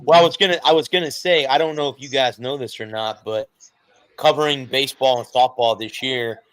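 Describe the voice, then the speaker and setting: A man's voice: mid-range voice, fairly quick, clean tone, no echo, low-quality recording. A man co-hosting a casual sports talk show, explaining at length and correcting himself as he goes. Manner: rambling, self-correcting explanations. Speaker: co-host.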